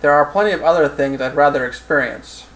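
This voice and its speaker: A man's voice: fairly high pitch, brisk, fairly bright tone, natural voice. Audiobook role: tough American woman admiral, read by a man, formal and dry. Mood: reluctant. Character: tough, stubborn female American Marine admiral.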